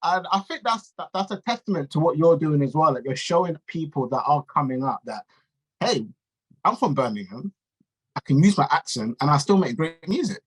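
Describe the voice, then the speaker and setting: Man, higher-pitched voice, interview host, casual and slangy, encouraging. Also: big pitch swings, moderate pace, breathy edge, rough recording. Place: remote video call.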